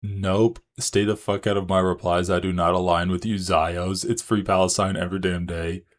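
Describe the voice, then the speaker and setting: Give me a deep-voiced man ranting sarcastically on a livestream. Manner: profane, sarcastic, laughing. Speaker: livestream host. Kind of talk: casual political commentary.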